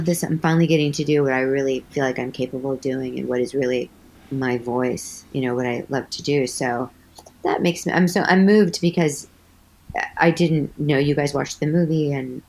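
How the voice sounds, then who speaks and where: A woman with a low voice, chatting animatedly as an actress guest in an interview, telling stories with lots of "like". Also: steady tone, moderate pace, darkish tone, low-quality recording. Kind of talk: casual interview storytelling.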